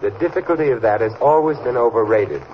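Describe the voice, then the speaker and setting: An actor playing a suave, dryly witty gentleman sleuth in an old-time radio drama, speaking in an unhurried, wry way. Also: medium pitch, lively intonation, lightly acted. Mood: wry, determined. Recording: dull, noisy old radio recording.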